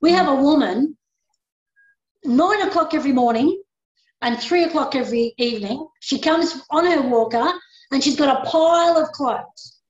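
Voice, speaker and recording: A pastoral care sister with a high, bright-sitting voice talks with lively emphasis. She acts out little exchanges as she goes. The recording is roomy and muffled. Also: moderate pace. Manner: emphatic and animated, acts out conversations. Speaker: pastoral carer and religious sister. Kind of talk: panel discussion. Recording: echoey dull-sounding room.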